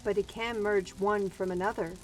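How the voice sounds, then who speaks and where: A woman playing an earnest, defiant human in a fantasy audio drama, speaking formally and deliberately. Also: mid-range voice, steady tone, faint music behind, natural voice, poor audio. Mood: earnest, challenging. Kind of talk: fantasy audio roleplay acting.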